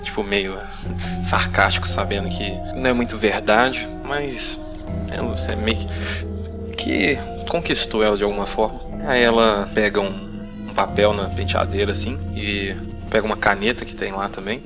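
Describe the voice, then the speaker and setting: A man with a Brazilian accent and a fairly deep voice narrates a tabletop game. He improvises casually, hesitating and using colloquial tags, while music plays clearly behind him. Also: normal speed. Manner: hesitant, colloquial, full of tag words. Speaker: game master. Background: music bed.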